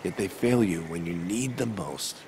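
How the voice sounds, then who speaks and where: A man's voice: sits low, moderate pace, creaky, background noise. An actor playing a weary, withdrawn, grieving man in a video game, with a subdued delivery. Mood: bitter, weary. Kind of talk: dramatic video game acting.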